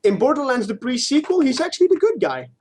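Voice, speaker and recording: A man with a noticeably high voice talks as co-host of a gaming show. He explains things casually and informally, in the loose way of an online chat between friends. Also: very animated, quick pace, darkish tone.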